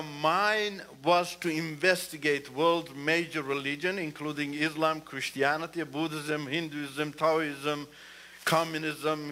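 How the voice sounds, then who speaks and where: Bright-voiced man. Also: fairly high pitch. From Iran. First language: Turkish. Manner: earnest, repeats "you know", rises emphatically. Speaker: guest speaker. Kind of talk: testimony talk in church.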